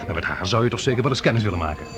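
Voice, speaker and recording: A male actor plays a breezy, chatty colleague in a radio drama. He talks fast in a lowish male voice, with a crowd murmuring behind him. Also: animated, close to an everyday voice. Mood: teasing, eager. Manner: chatty, teasing, brisk. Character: breezy, teasing male colleague with an eye for women. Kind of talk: Dutch radio play dialogue.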